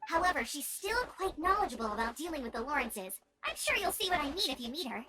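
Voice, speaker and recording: A woman's voice: high-pitched, big pitch swings, fast talker, a loud crowd. A woman playing a perky, encouraging young scout knight in a video game, speaking in an upbeat, eager, friendly way. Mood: friendly explaining. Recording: loud game music behind.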